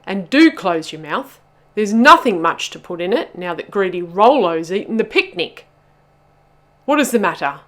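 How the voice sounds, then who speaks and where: A woman voicing a bossy, impatient male teddy bear in a children's storybook, brisk, snappy and self-assured. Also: lowish voice, sing-song, mellow, breathy edge, exaggerated voice. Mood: snappy, scolding. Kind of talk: children's storybook read-aloud.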